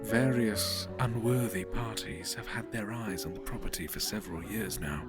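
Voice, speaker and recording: A man playing a formal, insistent, mysterious gentleman in his forties in an audio drama, with polished, courtly speech. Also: fairly low pitch, normal speed, close to an everyday voice. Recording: prominent music bed behind the voice.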